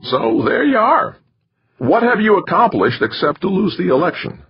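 A man's voice: high voice, very animated, normal speed, breathy edge, pitched above the performer's own voice, full character voice. A man voicing a wiry, edgy male campaign manager with a scratchy voice, pressing his point urgently in an audiobook. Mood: insistent. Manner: pushy, urgent persuading. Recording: dull lo-fi recording.

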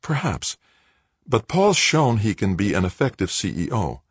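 Composed, diplomatic executive mother, played by a male narrator: lifted pitch, lively intonation, audiobook drama. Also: normal speed, breathy edge, no room sound. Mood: calm, persuasive. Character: calm, cool, calculating executive woman, mother of an heir.

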